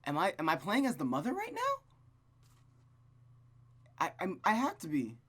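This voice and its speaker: A man's voice: high voice, wildly swooping pitch, moderate pace, fairly bright tone, husky. Rambling, self-deprecating man reading a game. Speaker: host reading a game aloud. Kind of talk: let's play reading with casual commentary.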